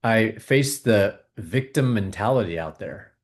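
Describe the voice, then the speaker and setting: A man hosting a video, calmly guiding viewers through a tapping exercise with repeated phrases. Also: fairly low pitch, unhurried. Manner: calm, repetitive, coaching tone. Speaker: host leading a tapping exercise. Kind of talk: guided tapping exercise and money talk.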